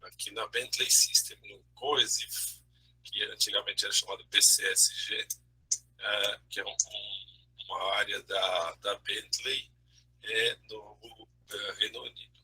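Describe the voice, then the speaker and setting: Raspy, sharp-voiced male host. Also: on the low side, steady tone, low-quality recording. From Brazil. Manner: halting, with frequent tag questions. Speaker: webinar host. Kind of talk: introducing a speaker. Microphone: video call.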